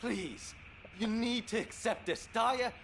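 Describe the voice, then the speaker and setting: A man's voice: very high voice, slow pace, gravelly, fairly bright tone, a little hiss or hum, exaggerated voice. An actor playing a worried young man who pleads emotionally and with exasperation in a video game drama. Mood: pleading.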